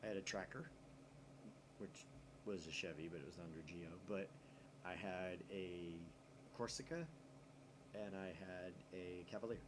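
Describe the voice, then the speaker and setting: A man's voice: deep voice, steady tone, normal speed, pauses often, noisy background. A man from the United States hosting a casual let's play, reading a visual novel aloud with jokey, opinionated asides.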